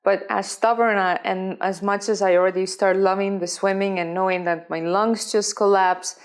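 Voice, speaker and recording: Earnest Estonian woman guest, halting English. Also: medium pitch, fairly even intonation. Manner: earnest, non-native phrasing with frequent restarts. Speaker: guest, professional swimmer. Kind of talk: conversational interview.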